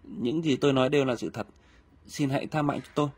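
A man voicing a frightened captured enemy spy in an animated historical film, submissive and pleading, speaking. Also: medium pitch, quick pace, slightly rough, lightly acted.

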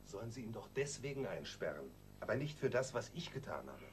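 Reluctant, lowish-voiced husband. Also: male voice, big pitch swings, brisk, slight background noise. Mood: protesting. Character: conscience-stricken pharmacist husband, reluctant and yielding. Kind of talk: German radio crime drama acting.

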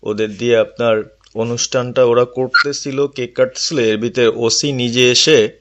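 A man's voice: mid-range voice, steady tone, unhurried, fairly bright tone. A man reports to his leader on a phone call, speaking deferentially and a little hesitantly. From Bangladesh. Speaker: local party activist. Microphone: telephone.

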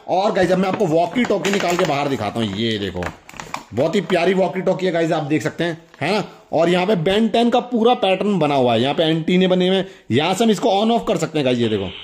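A man's voice: high-pitched, a little mellow. A young man, chatting casually to viewers as he hosts a hands-on toy unboxing. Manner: chatty, demonstrative, often addresses viewers. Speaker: toy review host.

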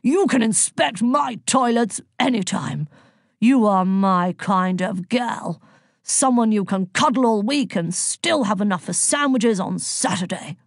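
A woman voicing a jovial, lecherous male god in a storybook, booming and teasing in a hearty manner. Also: animated, a little slow, gravelly, breathy edge, dry recording, studio quality, full character voice. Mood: admiring, lecherous.

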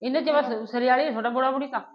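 An actress as a blunt, indignant Punjabi mother in an amateur family drama. She complains quickly in a high voice. The recording is dull and muffled. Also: steady tone, natural voice. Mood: complaining. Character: indignant, outspoken Punjabi mother of a married daughter. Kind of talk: amateur short-film family drama acting, conversational.

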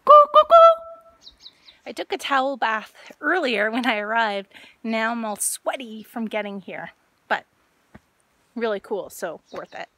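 A woman in her thirties chatting casually in short, relaxed remarks as she films a hiking vlog on a phone. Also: on the high side, a little slow. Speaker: hiker vlogger. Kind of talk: casual trail vlog narration to camera. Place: outdoors on mountain trail, sometimes inside hut. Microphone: handheld phone at arm's length.

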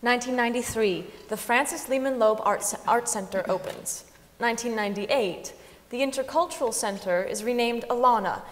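Higher-voiced woman announcing, hall reverb. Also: a bit bright. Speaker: reader announcing names and dates. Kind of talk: staged reading, announcing. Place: large concert hall stage. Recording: faintly noisy, reverberant concert hall.

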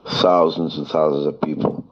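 Casting: man with an extremely low voice, berating and repetitive, on a dull, lo-fi voice-note recording. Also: fairly even intonation, normal speed, breathy edge. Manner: scolding, belittling, repetitive. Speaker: land seller. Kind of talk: voice message to a customer. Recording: dull played-back voice note.

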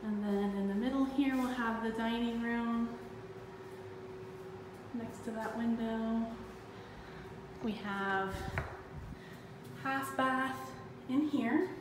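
Slow-talking woman in echoey empty house. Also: a little high, background noise. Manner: slow, plain, pausing between rooms. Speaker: parent giving a house tour. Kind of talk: casual walkthrough narration. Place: empty townhouse, indoors. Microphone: phone camera held while walking. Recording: echoey empty rooms with long reverb.

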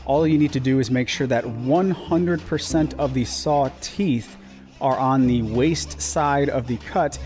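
A man's voice: medium pitch, a little mellow. A man in his thirties narrating his woodworking project in a plain, practical way, with small restarts and fillers. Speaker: woodworker explaining his build. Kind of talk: voice-over narration of a workshop project.

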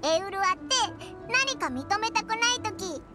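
A tiny fairy companion chirps in a very high, childish squeak that swings up and down. The character is cheeky, bossy and full of self-importance, in anime game style, with loud music behind. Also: female voice, sing-song, normal speed. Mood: curious, chatty. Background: background music.

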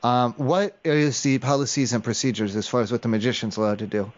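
A man talks quickly in a mid-pitched voice, rambling and hedging as he improvises a prank call. The audio is dull and lo-fi, and his manner stays hesitant and evasive. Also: steady tone. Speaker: prank caller.

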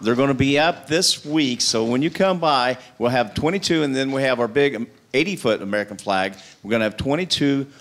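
A man's voice: medium pitch, brisk, hardly any pauses. A middle-aged man, a regular on the show, talking up his business with salesy enthusiasm on a studio talk set. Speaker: store owner, show regular. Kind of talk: casual promotional chat. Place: diner-style TV studio set with audience. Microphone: handheld mic.

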